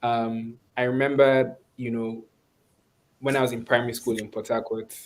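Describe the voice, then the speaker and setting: Nigerian guy on a webinar, thinking out loud, kinda halting, medium pitched voice. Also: flat delivery, rough recording. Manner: thoughtful, halting, searching for words. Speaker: webinar speaker, course instructor. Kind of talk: reflective conversational talk.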